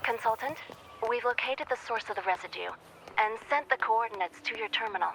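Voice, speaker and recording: A woman's voice: fairly high pitch, a little fast, noisy background, natural voice. A woman playing an efficient, polite operations officer in a video game, formal and businesslike.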